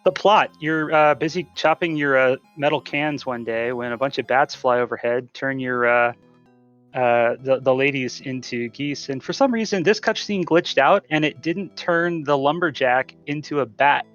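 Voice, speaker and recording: A man giving casual live gameplay commentary as a speedrunner, in a halting, hesitant way. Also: mid-range voice, a bit bright. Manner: halting, hesitant delivery with repeated words. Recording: dull lo-fi mic, faint music.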